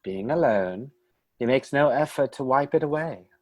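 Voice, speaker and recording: A man's voice: mid-range voice, animated, normal speed, a little mellow, no room sound, muffled recording. A man narrating a radio play, with indignant, exasperated asides.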